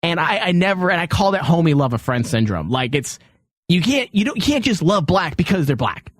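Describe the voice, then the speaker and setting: A man's voice: on the high side, big pitch swings, fairly quick, dry recording. Opinionated male host, dry close sound. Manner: opinionated, swears casually. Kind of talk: casual chat, storytelling.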